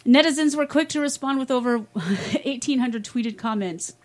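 A woman's voice: high-pitched, normal speed, a little mellow. A woman co-hosting a casual podcast, reading news stories aloud with wry asides and frequent laughter.

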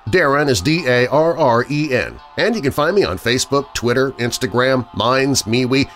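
A man's voice: mid-range voice, a bit bright. A man hosting a podcast, reading a scripted outro. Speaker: podcast host. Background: music.

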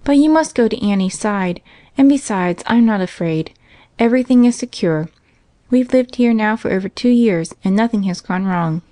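Woman as frontier mom, steady n devoted, normal pitch, storybook read. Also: normal speed, no echo, lightly acted. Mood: brave, reassuring. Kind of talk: storybook character voice.

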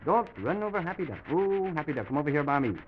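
A man hosting an old-time children's radio show, speaking gently and simply to small children as he tells a make-believe story. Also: fairly high pitch, big pitch swings, quick pace, heavy background noise. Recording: dull old radio recording with music.

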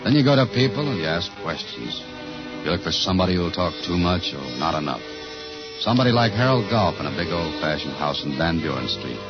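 A man's voice: low voice, big pitch swings, brisk, music in the background. An actor playing a dogged, hard-boiled male investigator in 1950s radio noir, terse and insistent. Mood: brooding. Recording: dull old radio broadcast.

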